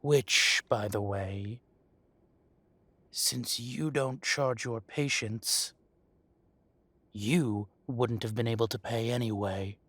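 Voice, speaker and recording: A man acting as a possessive dragon shifter mafia boss in a roleplay audio drama, speaking with mock politeness and deliberate, taunting menace. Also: medium pitch, steady tone, a bit bright, no room sound. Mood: coolly reasoning. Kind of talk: scripted roleplay audio drama, addressing the listener.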